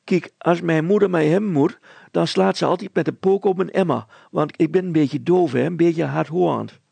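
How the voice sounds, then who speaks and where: A man's voice: fairly high pitch, moderate pace, gravelly, darkish tone, breathy edge, no room sound, pitched above the performer's own voice, heavily acted. A man voicing a muddled, stubborn village woman in a children's story, rambling, stumbling and repeating herself emphatically. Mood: emphatic.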